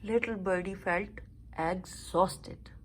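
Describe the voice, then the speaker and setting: A woman reading a children's story aloud with expressive, deliberate phrasing. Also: mid-range voice, slight background noise, low-quality recording.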